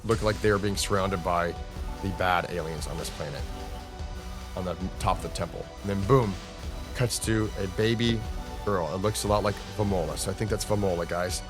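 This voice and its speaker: A man doing casual, excited play-by-play narration of comic panels, informal and dramatic, as a read-along host. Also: moderate pace. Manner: informal, dramatic, with interjected booms. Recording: music under the voice. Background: music.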